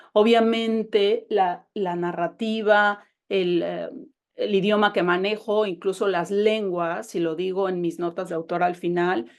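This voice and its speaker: A woman's voice: medium pitch, a little mellow. A woman novelist with a Mexican Spanish accent, talking about her book in a casual, enthusiastic way, with many restarts, on a video call. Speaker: novelist guest. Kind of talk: casual conversation over a call.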